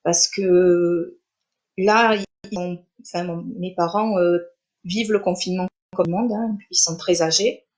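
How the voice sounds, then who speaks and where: A middle-aged woman born in Armenia, an author chatting on a live stream, speaking with many pauses, fillers and restarts. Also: fairly low pitch, fairly even intonation. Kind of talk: casual live-stream interview.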